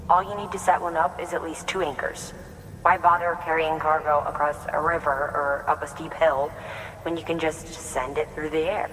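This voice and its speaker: Actor as an upbeat engineer with a bright, sharp tone, slightly reverberant. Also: medium pitch, steady tone, normal speed, natural voice. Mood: breezy. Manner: breezy and encouraging. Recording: reverberant with faint noise.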